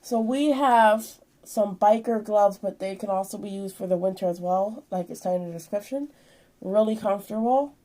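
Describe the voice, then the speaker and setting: 20s woman doing a casual show and tell vlog, normal pitch, rambly and informal. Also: normal speed, dark tone. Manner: rambling, informal, hands-on explaining. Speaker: vlogger showing purchases.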